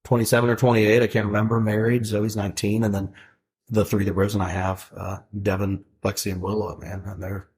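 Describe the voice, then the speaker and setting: A man's voice: fairly low pitch, little pitch movement, brisk, a little mellow. A man in his forties, a guest in a casual interview, talking in a rambling way with many fillers and restarts. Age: 40s. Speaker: guest, food truck owner.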